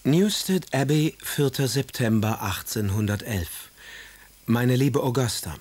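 An actor playing a witty, melancholy young poet in a radio drama, reading letters and verse in an ironic, theatrical manner. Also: male voice, mid-range voice, lively intonation, unhurried, darkish tone, no room sound. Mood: sardonic.

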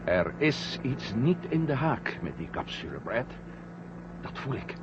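An actor playing a headstrong, curious astronaut with a commanding, impatient manner in an old radio drama. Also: male voice, medium pitch, sing-song, a little slow. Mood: determined. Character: headstrong, curious male astronaut on a moon mission. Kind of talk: 1970 Dutch radio drama acting. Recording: dull, noisy old radio drama recording.

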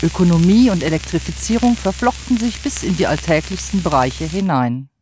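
A man's voice: high-pitched, fairly quick, a little mellow, slight background noise, poor audio. A man reading scripted narration as one of the narrators of an audio drama. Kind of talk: scripted narration over music. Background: music.

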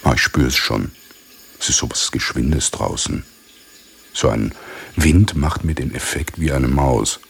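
A very deep, gravelly male army captain in a radio play. He is pompous and easily muddled, moralizing in halting, repetitive phrases at a slow pace. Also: heavily acted. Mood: condescending, musing. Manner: pompous, halting, repeats himself. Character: pompous, befuddled, moralizing army captain lecturing his subordinate. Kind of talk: theatrical radio drama acting.

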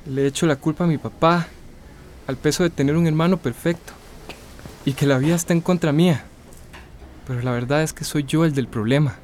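An actor with a Costa Rican accent playing a remorseful young recovering addict in a radio drama, speaking hesitantly and confessionally. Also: male voice, on the high side, fairly even intonation, fairly quick, long pauses, darkish tone, a little hiss or hum, close to an everyday voice. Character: remorseful young male recovering addict, self-doubting but resolute. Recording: music under the voice.

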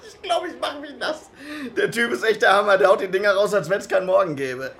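A man's voice: high-pitched, animated, brisk, vocal fry, fairly bright tone, exaggerated voice. An actor playing a flippant, slangy junior policeman in a radio crime drama. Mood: amused, giddy. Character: giggly, slack junior policeman, easily amused.

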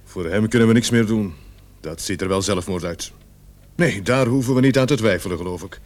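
An actor playing a gruff, impatient, bossy American police sergeant in a Dutch radio play. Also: male voice, on the low side, brisk, darkish tone, close to an everyday voice. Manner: bossy, curt, irritable, swearing.

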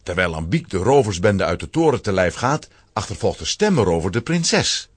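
A man giving a dramatic, expressive scripted narration for a children's audio drama. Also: low-pitched, moderate pace, breathy edge, no echo.

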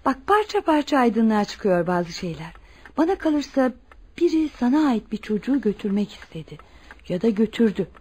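An actress playing a warm, welcoming married woman in a radio drama, expressive and reassuring. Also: fairly high pitch, moderate pace, slight background noise. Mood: earnest.

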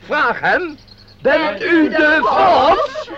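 A fussy, pompous organiser in a Dutch radio play. His very high voice swings widely as he makes jovial, formal announcements. The recording is dull, with a group of people murmuring around him. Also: very animated, very slow, over a noisy crowd, full character voice. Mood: pompous, teasing. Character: fussy, jolly male outing organiser, Meneer Gans.

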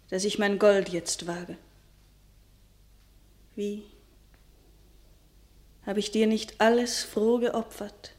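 An actress playing the king's devoted, generous lover in a classic German radio play, speaking earnestly and urgently. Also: fairly high pitch. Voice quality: earnest, urgent, at times hushed delivery. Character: devoted, generous royal mistress.

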